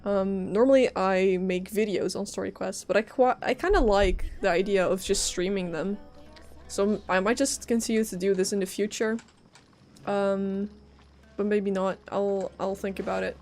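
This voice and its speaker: A woman game streamer with a mid-pitched voice talks casually to her viewers. She is chatty and rambling, with a giggly manner, over faint game music. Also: steady tone, moderate pace, a little mellow.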